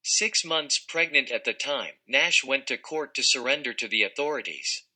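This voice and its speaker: A man narrates a written text in a scripted read-aloud style. His voice is very bright and crisp-edged, with a forceful delivery at an average pitch. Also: animated, normal speed. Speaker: narrator reading an article.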